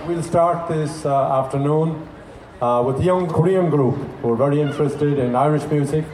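A man working as MC, announcing acts from the stage with halting phrasing and many fillers. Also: on the high side, a little mellow, a little hiss or hum. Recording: echoey over a PA with crowd.

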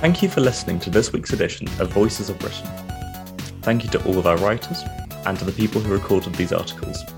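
A man hosts a podcast in a fairly low voice, reading from a script. Music plays clearly behind him. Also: expressive, fast talker. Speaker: podcast host.

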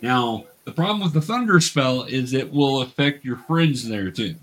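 A man's voice: mid-range voice, expressive, normal speed, fairly bright tone. A man acting as game master, patiently explaining rules and narrating a tabletop fantasy game.

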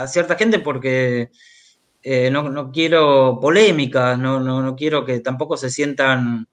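Argentine guy answering interview questions, mid pitch, hesitant, keeps doubling back on words. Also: a bit bright. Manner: hesitant, repeating words as he goes. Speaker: interviewed author, journalist and biographer. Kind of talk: conversational remote interview. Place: remote video call.

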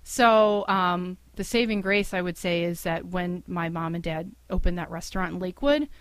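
Chatty woman with a lowish voice. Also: moderate pace, clear, resonant tone, dry recording. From Cleveland, USA. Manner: chatty, many fillers like "um" and "like", laughs easily. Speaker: guest, founding director of a language school. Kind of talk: casual interview talk.

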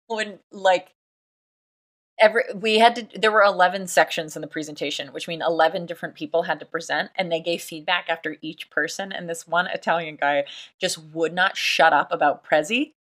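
A woman co-hosting a podcast in casual chat, an animated storyteller who laughs often and acts out what people said. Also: lowish voice, moderate pace. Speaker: podcast co-host.

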